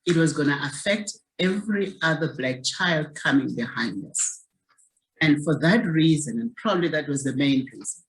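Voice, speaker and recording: A woman's voice: low voice, rough recording. Earnest woman in her sixties, from South Africa. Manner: earnest, repeats words while searching for them. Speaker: guest, community activist. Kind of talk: reflective interview storytelling.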